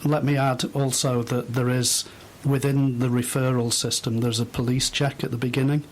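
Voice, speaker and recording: A middle-aged man giving thoughtful, halting answers as a guest in an unscripted radio interview. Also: mid-range voice, flat delivery. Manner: hesitant, thoughtful, halting phrases. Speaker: interview guest, volunteer host.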